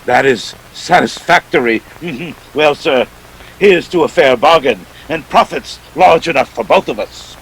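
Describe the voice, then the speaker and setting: Man playing suave, slow-talking pompous villain. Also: on the high side, lively intonation, slightly rough, full character voice, low-quality recording. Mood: genial, scheming. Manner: pompous, courtly speech with frequent sly chuckles. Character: suave, ruthless male villain obsessed with treasure. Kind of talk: 1940s radio play acting.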